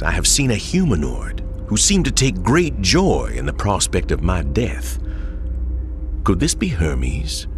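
A deep-voiced, grave monster chronicler. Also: male voice, heavily acted. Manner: formal, literate, ominous. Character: grave, learned man reading his journal of monsters. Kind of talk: scripted game journal narration.

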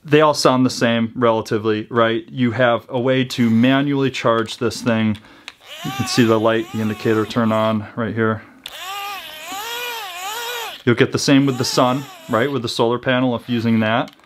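A man's voice: medium pitch, steady tone, moderate pace, noisy background. A man in his thirties giving a casual product review to camera indoors, chatty and informal, often checking in with the listener. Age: 30s. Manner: informal, conversational, checks in with "right?". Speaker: host of a preparedness gear channel. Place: small indoor room with built-in shelves.

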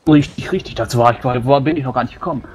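A man playing a plain-spoken, grumbling dwarf warrior in a tabletop roleplay, speaking haltingly and matter-of-factly. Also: mid-range voice, crowd noise, close to an everyday voice. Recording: faint background noise.

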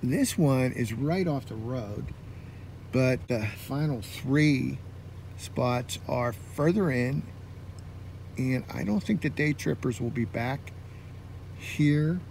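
A man's voice: mid-range voice, takes it slowly, vocal fry, darkish tone. Man musing aloud over noisy vehicle sound. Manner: musing, thinking aloud in short phrases. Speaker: camping vlogger. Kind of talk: casual running commentary.

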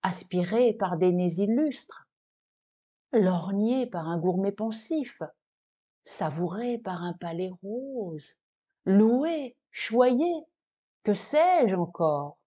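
A woman voicing a vain, naive cheese in a recited poem, lamenting its fate in a plaintive, theatrical way with dramatic pauses. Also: medium pitch, expressive, warm tone, highs cut off. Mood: vain, dreamy.

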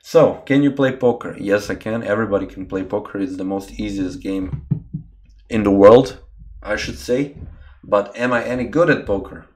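A man's voice: lowish voice, normal speed. A young man from Croatia, streaming live chess from a small room and thinking aloud about moves as he chats with viewers through a webcam mic. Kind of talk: live game commentary with chat.

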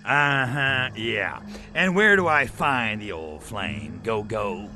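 An actor playing a brash, wisecracking alien invader in a video game, with an American accent and a cynical, slangy manner. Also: male voice, lowish voice, expressive, takes it slowly, bright, forceful tone, faint music behind, full character voice. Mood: teasing, smug. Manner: sardonic, slangy American wise guy. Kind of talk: video game cutscene acting.

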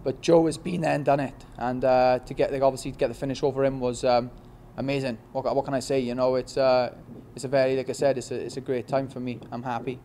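A young man with a Welsh accent in a post-fight interview, talking quickly with many restarts and "you know what I mean". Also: medium pitch, level tone, mellow, slight background noise. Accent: Welsh, Swansea. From Wales. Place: press backdrop indoors. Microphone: reporters' handheld mics in front.

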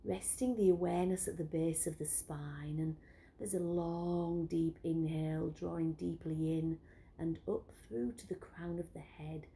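A woman leading a guided breathing meditation, giving slow, soothing instructions that often drop to a whisper. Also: on the low side, fairly even intonation, vocal fry, warm tone, a little hiss or hum.

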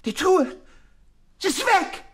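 An actor playing a frightened, fussy gentleman in an audio drama, shrill and panicky. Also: sing-song, slow pace, exaggerated voice. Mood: panicked. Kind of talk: German audio drama acting.

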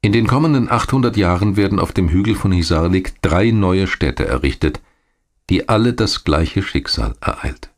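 A man reading scripted documentary narration in a measured, factual manner. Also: sits low, expressive, soft background music.

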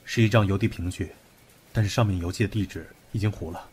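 A man acting in a Chinese audio drama as a brusque, analytical young investigator who speaks in a deliberate, commanding way. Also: deep voice, fairly even intonation, a little mellow, slight background noise, close to an everyday voice. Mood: curt.

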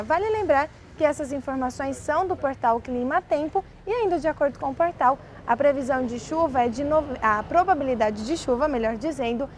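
A woman's voice: high voice, a little hiss or hum. Young woman anchoring local TV news. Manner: reads from notes, small restarts. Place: indoor studio set. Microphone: lapel mic.

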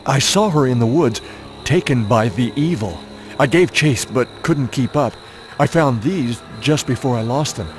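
A man's voice: medium pitch, expressive, a little slow, a little hiss or hum, natural voice. An actor playing a grave, anxious holy man in a video game, speaking in a solemn and melodramatic way.

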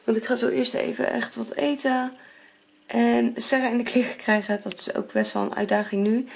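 A woman's voice: fairly high pitch, flat delivery, normal speed, gravelly, slightly rough. A woman in her thirties chatting to the camera in a casual family vlog, at home and in the car. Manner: chatty, talking to camera while busy. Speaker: vlogger. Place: home kitchen, then car and windy outdoors. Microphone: camera at arm's length.

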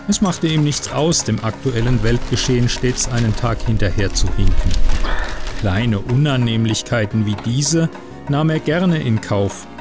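A man reading a literary short story in measured, scripted narration. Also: mid-range voice, animated. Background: music under the voice.

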